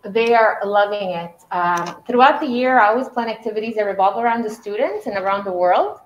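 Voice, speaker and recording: A woman's voice: mid-range voice, expressive, fairly quick, a little hiss or hum. A woman teacher speaking enthusiastically about her class project in a classroom. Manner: enthusiastic, explanatory. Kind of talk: interview answers. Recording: echoey, dull classroom sound.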